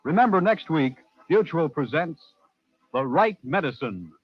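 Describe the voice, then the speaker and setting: A male announcer in classic 1940s radio style. His delivery is formal and very animated, with a slightly rough, husky tone. The broadcast sounds dull and aged. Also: a little high, moderate pace, no room sound. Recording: muffled old radio broadcast recording.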